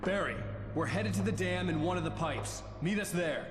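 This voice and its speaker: A man's voice: high voice, expressive, moderate pace, over loud music. An actor playing a troubled, earnest writer in his thirties in a video game. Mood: urgent. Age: 30s. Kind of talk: video game acting and first-person narration.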